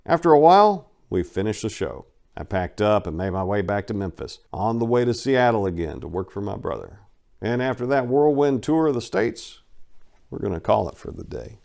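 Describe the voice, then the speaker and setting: A man's voice: on the low side, sing-song, moderate pace, gravelly, darkish tone. A man reading his own book as a dramatic first-person narration, with a colloquial, cocky storytelling manner.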